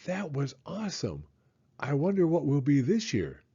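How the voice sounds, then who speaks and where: A man's voice: a little high, huge pitch swings, normal speed, warm tone, husky, dull audio, exaggerated voice. A man voicing a cheerful young boy in a storybook, talking eagerly and affectionately to his dad. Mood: excited, eager.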